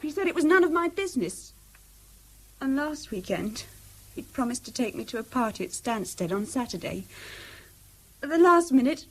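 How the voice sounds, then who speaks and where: A woman's voice: fairly high pitch, low-quality recording. An actress playing an earnest, troubled English schoolgirl in a British radio drama, hesitant, polite and confiding. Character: earnest, troubled teenage schoolgirl, loyal and anxious.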